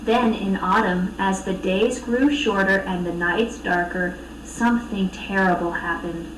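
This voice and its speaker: A woman's voice: medium pitch, a little slow, distant mic. Woman reading a storybook in an echoey room. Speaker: storybook reader. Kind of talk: expressive children's story narration. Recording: echoey room, off mic, faint noise.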